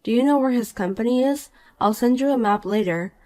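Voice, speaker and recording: A woman voicing a calm, cool married young woman in a dramatized story, dry and patient. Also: fairly high pitch, brisk, close to an everyday voice.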